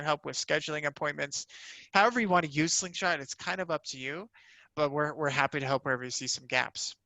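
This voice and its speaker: A man's voice: a little high, sharp tone, no room sound, rough recording. A man giving an informal, upbeat sales presentation as a company representative, conversational and peppered with "you know".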